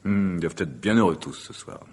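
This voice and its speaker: A man's voice: low-pitched, lively intonation, brisk, darkish tone, breathy edge. A man playing an assertive, possessive lover in a French radio mystery drama, declamatory and commanding. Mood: relaxed. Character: assertive, possessive, theatrical male lover, self-styled conqueror.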